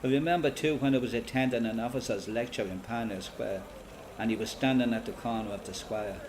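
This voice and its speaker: An actor playing an Irish freedom fighter veteran, giving matter-of-fact first-person testimony in an Irish accent for a radio play. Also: male voice, mid-range voice, steady tone, fairly quick, darkish tone, slight background noise, lightly acted. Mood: matter-of-fact, reminiscing. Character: plain-spoken Irish volunteer veteran recounting his fighting days.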